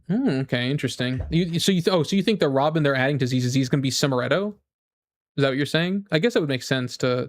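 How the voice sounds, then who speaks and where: Casual, higher-voiced male gaming streamer. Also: no echo, clean studio sound. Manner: informal, enthusiastic, thinking aloud with false starts. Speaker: streamer reacting and chatting with viewers. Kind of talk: casual rambling gaming chat.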